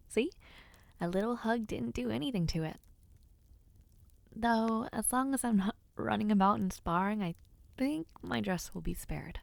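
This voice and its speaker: Woman acting a slow, creaky-voiced young noblewoman. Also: medium pitch, dry recording. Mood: affectionate, playful. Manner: soft, breathy, hesitant, with sighs and murmurs. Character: affectionate young noblewoman, playful but nervous before her debut. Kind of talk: intimate audio roleplay acting, addressing the listener.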